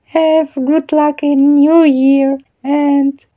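Woman, unsure slow pronunciation, kinda muffled lo-fi mic. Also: sits high, flat delivery. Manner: slow, careful, hesitant pronunciation of foreign words. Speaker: channel host. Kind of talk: casual vlog reading word list.

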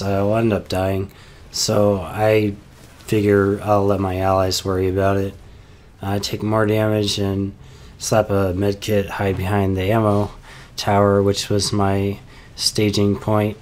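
A young man calmly explaining his tactics over game footage, sounding a little stuffy from a cold, with frequent "uh" fillers. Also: lowish voice, a little slow, a little hiss or hum. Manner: calm, explanatory, many "uh" fillers. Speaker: gameplay commentator.

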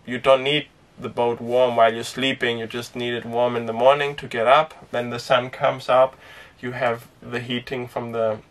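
A man's voice: mid-range voice, moderate pace, hardly any pauses, recorded from a distance. Rambling young man on a boat. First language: Danish. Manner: relaxed and rambling, with frequent fillers and small laughs. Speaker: sailing vlogger. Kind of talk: casual talk to camera. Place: inside a small sailboat cabin and cockpit tent.

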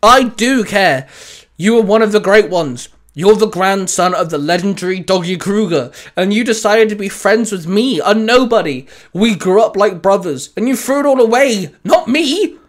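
Man playing a young sci-fi ranger hero, earnest, urgent, high-pitched, audio drama. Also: expressive, brisk, hardly any pauses, fairly bright tone. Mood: angry, hurt. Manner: urgent, emphatic. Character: headstrong young male space ranger, earnest and pleading.

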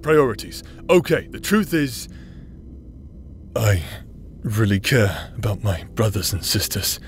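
A man acts out a roguish outcast who plays the villain in an intimate audio roleplay. He drawls slowly at a medium male pitch, teasing and hesitant, with tenderness underneath. Faint music plays behind him. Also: lightly acted. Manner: drawling, hesitant, punctuated by chuckles.

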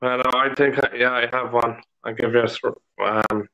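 A man's voice: mid-range voice, fairly even intonation, moderate pace, sharp tone. A man from Ireland with an Irish accent, chatting casually on a video call, swearing now and then and laughing a lot. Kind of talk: casual chat. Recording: dull lo-fi call audio.

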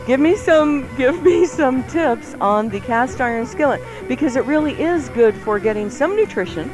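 A woman's voice: sits high, lively intonation, moderate pace, music in the background, rough recording. A woman co-hosting a radio show with casual, playful banter. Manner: playful, giggly. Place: radio studio.

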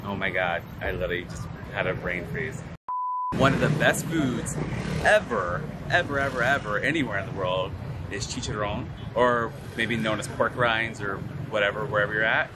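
A man's voice: fairly low pitch, moderate pace, very noisy. A man in his thirties chatting playfully as a travel vlogger, filming himself at arm's length outdoors and in a car. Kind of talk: casual travel vlog. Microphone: handheld camera at arm's length.

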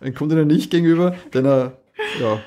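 A man's voice: a little high, brisk. A man, co-hosting a podcast in casual chat, laughing often as he recounts a story. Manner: lively, laughs often, restarts sentences. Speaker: podcast co-host. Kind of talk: casual chat about audio dramas.

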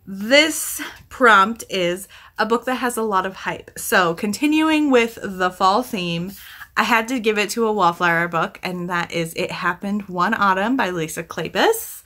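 A woman in her thirties talks in a bright voice of middling pitch. She reviews books casually for a vlog, thinking aloud and correcting herself as she rambles along. It is recorded at home in a small room. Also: measured pace. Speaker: book vlogger. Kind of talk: casual chatty book review to camera.

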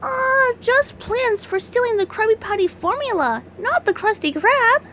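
A woman voicing an excitable, naive young male sea sponge in an amateur cartoon comic dub. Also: very high-pitched, measured pace, muffled recording, slight background noise, pitched above the performer's own voice, heavily acted. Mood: nervous.

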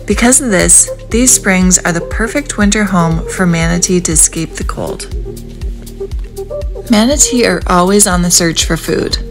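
A woman reading a calm, informative voice-over for a family travel vlog. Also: fairly low pitch, gravelly. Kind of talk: scripted voice-over narration. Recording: voice-over with loud music behind.

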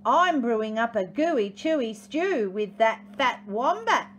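A woman's voice: a little high, lively intonation, unhurried, a bit bright, a little hiss or hum. A woman voicing a boastful, gleeful male dingo in a storybook, theatrical and sing-song.

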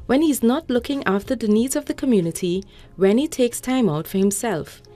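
A woman's voice: on the high side, moderate pace, dark tone, soft background music. A woman narrating a documentary profile with a polished, scripted delivery.

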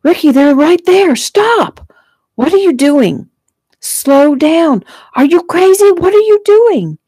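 A woman's voice: extremely high pitch, lively intonation, slow, dark tone, no echo, voice pushed up, heavily acted. A woman voicing a distraught young woman in an audiobook, urgent and crying out in panic. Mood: panicked. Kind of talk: audiobook character acting.

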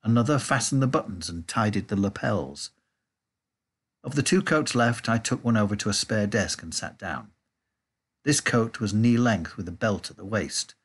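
Husky, expressive male storybook narrator. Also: fairly low pitch. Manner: expressive, measured. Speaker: audiobook reader.

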